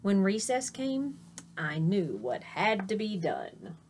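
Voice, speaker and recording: A woman's voice: medium pitch, wildly swooping pitch, slow pace, darkish tone, a little hiss or hum. A woman reading a children's picture book aloud like a teacher, with dramatic delivery and chatty asides to young listeners.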